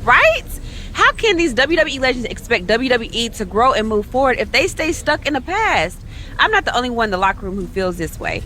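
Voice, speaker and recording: A cocky young female wrestler in a video game cutscene. She sounds brash and indignant in a fairly high voice, with faint noise behind her. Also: sing-song, fairly quick, bright, forceful tone.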